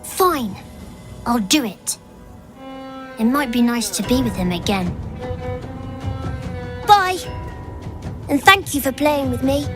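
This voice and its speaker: A woman's voice: sits high, big pitch swings, takes it slowly, long pauses, heavily acted. A woman voicing a lonely, stubborn young spirit boy in a video game, with a childlike, petulant manner. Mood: softening. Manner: childlike, sulky. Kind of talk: video game character acting. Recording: music behind the voice.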